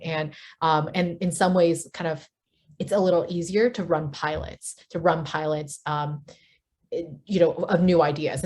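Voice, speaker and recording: A woman's voice: low voice, a little mellow. A woman, a city data team lead, explaining her office's work as a guest on a remote interview, with many "um"s, restarts and "kind of"s. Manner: rambling, many fillers and restarts. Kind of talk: casual interview talk.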